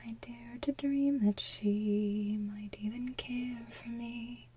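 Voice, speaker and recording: A woman doing ASMR, singing and speaking in a soft, breathy, hushed whisper with a gentle delivery. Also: a little high, steady tone, slow. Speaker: ASMR channel host. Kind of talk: ASMR soft singing of animated film villain songs.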